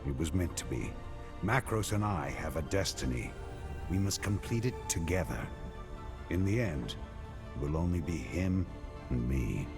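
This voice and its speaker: A grim fantasy game knight with a low, deep voice. He is terse and stoic, with a lively rise and fall in his solemn delivery. Music plays behind him. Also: a little slow, long pauses, full character voice. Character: grim, driven warrior knight, brother of a tyrant. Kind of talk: cinematic fantasy game acting.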